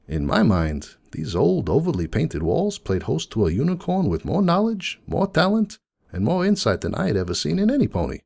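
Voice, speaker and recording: Man acting a folksy, gravelly, low-voiced donut shop unicorn. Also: darkish tone, exaggerated voice. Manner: folksy, chatty, reminiscing storyteller. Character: friendly middle-aged male unicorn donut shop owner, proud, nostalgic. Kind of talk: dramatic fan fiction reading in character.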